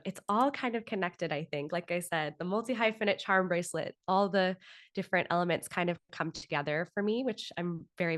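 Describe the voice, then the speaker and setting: A woman in her thirties with an American accent speaks in a lowish register. She answers interview questions conversationally, and the sound is dry, with very little room in it. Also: a bit bright, dry recording. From Florida, United States. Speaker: podcast guest, author and actor.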